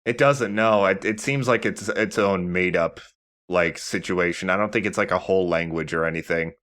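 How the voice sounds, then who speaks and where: A man hosting a let's play, giving casual gaming commentary in a halting, conversational way. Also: low voice, a bit bright.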